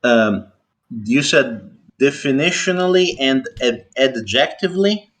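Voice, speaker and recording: A man's voice: mid-range voice, lively intonation, a bit bright. A man from Italy, not a native speaker, debating on a voice call from his family home. He interrupts often and probes each point with care. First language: Italian. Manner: interrupting, probing, deliberate. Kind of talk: casual online debate.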